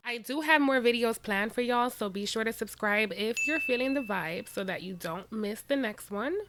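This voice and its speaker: A woman hosting a reaction channel, giving sassy, animated commentary straight to her viewers. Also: on the high side, normal speed, background noise.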